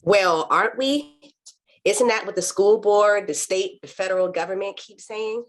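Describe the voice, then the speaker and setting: A woman's voice: medium pitch, a little slow, close to an everyday voice. A woman playing a cautious, skeptical teacher with a hesitant, questioning manner in a table read.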